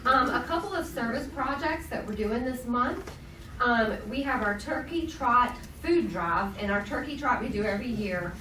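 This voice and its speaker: A woman's voice: on the high side, lively intonation, normal speed, pushed and bright, soft background music. Woman, stuffy nose, casual announcements with many ums, echoey room, mic far off, faint noise. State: nasal from a cold. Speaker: resident life staff. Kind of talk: community announcements.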